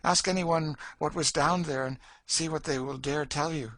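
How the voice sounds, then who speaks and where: Man voicing a bitter woman, raised and forceful. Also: on the high side, steady tone, moderate pace, pitched above the performer's own voice, close to an everyday voice. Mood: grim, foreboding. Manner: dry, emphatic, fatalistic. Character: bitter, despairing, world-weary enslaved woman. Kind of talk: audiobook character acting.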